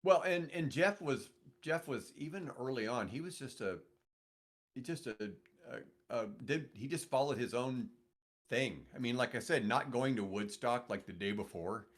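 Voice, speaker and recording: A man's voice: medium pitch, expressive, moderate pace. Middle-aged American man swapping music stories. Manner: rambling, enthusiastic about music. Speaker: podcast guest, guitarist and radio host. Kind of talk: casual storytelling conversation.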